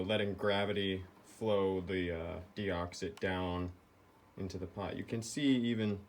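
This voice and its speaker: A man giving a hands-on repair tutorial, explaining things step by step. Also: lowish voice. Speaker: repair video host. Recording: clearly noisy background.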